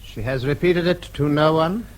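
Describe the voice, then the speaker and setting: An actor playing a stern, imperious high official in a radio drama, formal and accusatory. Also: male voice, normal speed, natural voice, poor audio. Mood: cold, accusatory.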